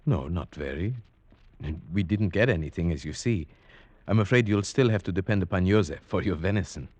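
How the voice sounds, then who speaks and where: Deep-voiced, haunted radio-suspense hero. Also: male voice, lively intonation, darkish tone, no room sound. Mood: hesitant, evasive. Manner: measured, grave, theatrical delivery. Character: earnest male narrator-hero haunted by dread, foreign gentleman. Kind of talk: 1940s-50s radio drama acting, suspense narration. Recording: vintage radio drama with music behind.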